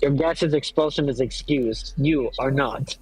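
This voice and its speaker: A man's voice: medium pitch, a little slow, slightly rough, dry recording, poor audio. A man chatting casually as a guest on a live stream, with a playful, mock-formal turn of phrase. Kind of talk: casual chat.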